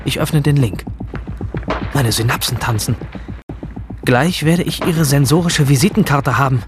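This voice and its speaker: A man's voice: medium pitch, measured pace, lightly acted. An actor playing an obsessive, rebellious male hacker from a virtual future world, narrating a radio drama in the first person. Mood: fixated, secretive. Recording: music under the voice.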